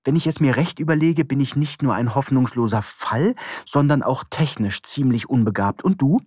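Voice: medium pitch, lively intonation, moderate pace, darkish tone, breathy edge, no echo, clean studio sound, voice pushed up. A narrator voicing a nervous, chatty middle-aged man, a former postman who rambles eagerly. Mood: rambling. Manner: chatty, fussy, eager to please. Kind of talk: audiobook character voice.

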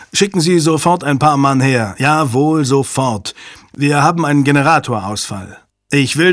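A man's voice: medium pitch, breathy edge, no room sound, studio quality. A man voicing a resolute older male commander in an audiobook, firm and authoritative, with clipped delivery. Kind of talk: dramatic audiobook character voice.

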